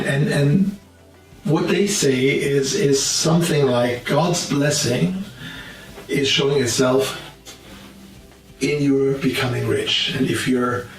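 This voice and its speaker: German-accented man, gravelly and echoey. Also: medium pitch, far from the mic. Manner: earnest, plain-spoken, with frequent restarts. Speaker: Bible teacher. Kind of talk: informal teaching talk to camera. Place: his office.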